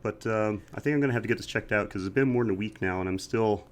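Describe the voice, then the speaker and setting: A man's voice: lowish voice, fast. A man co-hosting a casual podcast, talking at length with a congested, slightly scratchy voice. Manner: relaxed, talkative, reviews with prepared facts. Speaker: podcast co-host.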